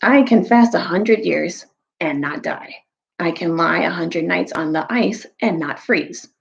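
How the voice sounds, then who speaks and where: Lady doing a cold hungry wolf creature from a storybook, dull gray voice, slow grim chant. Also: on the low side, gravelly. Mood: cold menace. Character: menacing, ravenous male wolf-like creature, relentless and cold.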